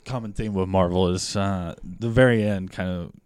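Smooth low male co-host, relaxed hesitant delivery, dry close mic. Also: moderate pace, no echo. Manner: laid-back, hesitant with fillers. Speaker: podcast co-host. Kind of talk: casual chat.

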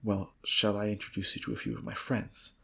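Guy doing a friendly posh earl from a storybook, hearty, bit gravelly. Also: lowish voice, little pitch movement, fairly quick, sharp tone, a little hiss or hum. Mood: genial. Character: genial, obliging, quick-witted English earl.

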